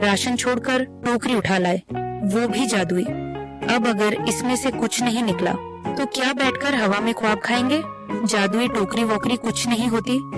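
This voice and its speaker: A woman's voice: a little high, lightly acted. A woman playing a poor, worried village wife and mother in a cartoon story, plaintive and nagging. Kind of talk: cartoon moral story acting.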